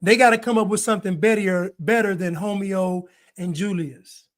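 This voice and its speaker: A man's voice: high voice, steady tone, normal speed. A man giving mocking, incredulous commentary as an online show host. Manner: sarcastic, incredulous.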